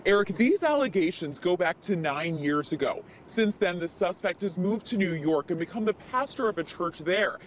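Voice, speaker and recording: A woman TV news reporter delivering a live report outdoors in crisp broadcast style. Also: lowish voice, lively intonation, normal speed, hardly any pauses, slight background noise. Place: outdoors near a jail.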